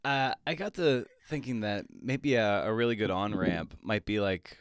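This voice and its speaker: A man hosting a podcast, asking questions in a casual, halting, rambling way. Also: fairly low pitch, smooth, dry recording. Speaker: podcast host interviewer. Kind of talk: casual interview.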